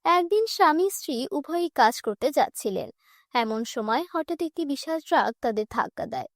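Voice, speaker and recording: A woman's voice: high voice, no room sound, clean studio sound. A woman reading scripted children's story narration as a storyteller.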